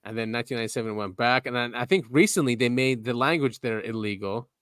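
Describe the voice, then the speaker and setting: A laid-back man co-hosting a podcast, his voice at an ordinary middle pitch. He rambles loosely with plenty of "yeah" and "um", and the recording is dry and close. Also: fast, smooth, dry recording. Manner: relaxed, lots of "yeah" and "um", drifting trailing-off sentences. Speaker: podcast co-host. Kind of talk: casual chat.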